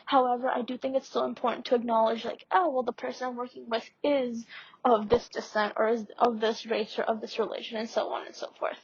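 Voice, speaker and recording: A woman's voice: on the high side, flat delivery, fairly quick, breathy edge. A young woman from India, a student mentor chatting casually in a podcast discussion with lots of fillers. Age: young adult. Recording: dull lo-fi audio.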